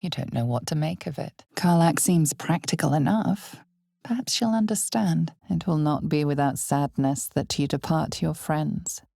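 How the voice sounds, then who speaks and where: An ominous, knowing game narrator with a very low voice full of gravelly fry. She tells a dark fantasy tale to the listener slowly and deliberately. The recording is close and dry. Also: no echo. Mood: brooding, dramatic. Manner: measured, deliberate, portentous delivery. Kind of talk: dramatic scripted second-person fantasy narration.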